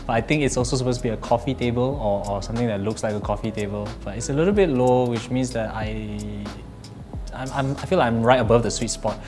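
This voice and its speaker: A man's voice: fairly low pitch, a little mellow. A man in his thirties with a Malaysian accent, giving casual unscripted impressions and hesitantly thinking aloud. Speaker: tech reviewer. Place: office meeting room.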